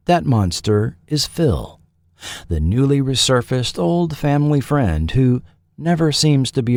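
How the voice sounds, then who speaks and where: A man's voice: lowish voice, darkish tone, dry recording. Man narrating in a dry, close studio sound. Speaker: podcast host and narrator. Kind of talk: scripted documentary narration.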